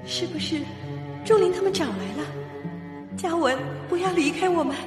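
An actress in a radio drama playing a fragile wife, distraught and wracked with guilt. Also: female voice, very high-pitched, moderate pace. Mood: panicked. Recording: echoey reverberant hall, distant, loud music behind.

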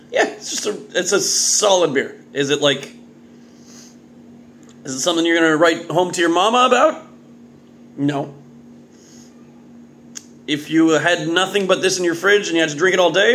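Male vlog host, high-pitched and very animated, casual jokey reviewer, slightly noisy kitchen recording. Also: moderate pace, creaky, pauses often. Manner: animated, exclamatory, jokey delivery with muttered asides. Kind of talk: casual solo video review, off the cuff. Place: home kitchen indoors. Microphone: phone or webcam at arm's length.

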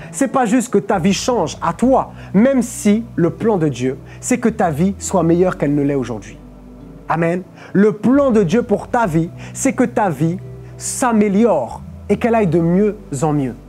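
A young male preacher with a mellow, relaxed tone, teaching his sermon patiently and asking his listeners "ok?" again and again. He speaks from a lectern on a studio stage with faint music playing behind him. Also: sits high. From Portugal. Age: young adult. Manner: explanatory, peppers phrases with "ok?" and "amen". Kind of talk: sermon on a live stream.

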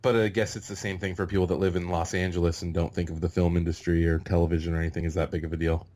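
A man in his thirties with a deep, low voice hosts a podcast over a video call. He's from California and talks in a relaxed, meandering way, restarting sentences and filling pauses as he goes. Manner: relaxed and rambling, with frequent fillers and restarts. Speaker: podcast host. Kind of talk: casual conversational interview.

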